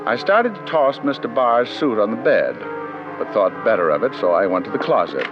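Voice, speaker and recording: A man's voice: medium pitch, big pitch swings, moderate pace, close to an everyday voice. A cocky, wry American private eye from a vintage radio drama, played by a male actor. His delivery swings freely up and down as he tosses off sardonic lines. The sound is muffled old broadcast audio with prominent music. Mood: wry narration. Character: wisecracking, cocky male private detective narrating his case. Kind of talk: 1940s-50s radio detective acting and narration.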